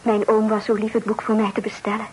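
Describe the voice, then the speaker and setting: A woman's voice: mid-range voice, monotone, fast talker, a little mellow, lightly acted. An actress playing a demure, uneasy, well-bred young lady in a Dutch period radio play, speaking with formal courtesy. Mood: polite. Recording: noisy old radio play recording.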